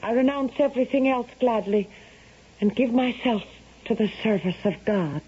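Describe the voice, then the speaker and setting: A woman's voice: fairly high pitch, moderate pace, mellow, breathy edge. An actress playing a resolute, bitter young noblewoman, a wronged daughter, formal and composed in a 1970s radio drama. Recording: dull vintage radio recording.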